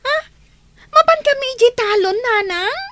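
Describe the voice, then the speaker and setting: Woman acting very squeaky, whiny work-shy student girl. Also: expressive, normal speed, a bit bright, slight background noise. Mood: reluctant. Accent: Ilocano. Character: work-shy young female student making excuses. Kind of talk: Ilocano radio comedy drama acting.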